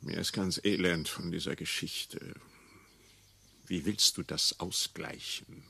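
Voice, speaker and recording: An actor playing a weary, grumbling older husband in a radio drama, with a gravelly, sour delivery. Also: male voice, low voice, steady tone, slow, sharp tone, exaggerated voice. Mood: disgusted, weary. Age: middle-aged or older.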